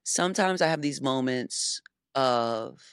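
Grateful woman in her forties, halting. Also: a bass voice, lively intonation, smooth, no room sound, studio quality. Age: 40s. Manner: grateful, effusive, halting restarts. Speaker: guest singer. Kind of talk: casual conversation.

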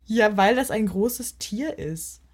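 A woman playing a tabletop role-playing game, mixing improvised role play with casual chat in hesitant, drawn-out phrases. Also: medium pitch, expressive, a little mellow, slight background noise.

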